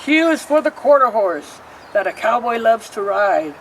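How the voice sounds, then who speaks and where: A woman reading a rhyming picture book aloud, pausing to explain the pictures. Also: on the high side, sing-song, far from the mic, a little hiss or hum. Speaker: storybook reader.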